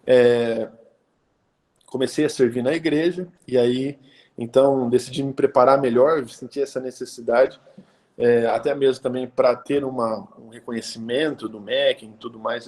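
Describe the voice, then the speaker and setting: Thirtyish pastor. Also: male voice, mid-range voice, steady tone, a little slow, a little mellow. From Brazil, interior of São Paulo state. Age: 30s. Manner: conversational, many hesitation fillers and tag questions. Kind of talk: casual live-stream talk.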